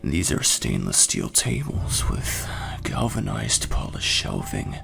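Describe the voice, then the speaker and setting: Sinister guide, deep creaking voice, half-whispered, macabre, audio drama, music underneath. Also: male voice, huge pitch swings, takes it slowly, fairly bright tone, full character voice. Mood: macabre relish. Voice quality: very gravelly, breathy whisper.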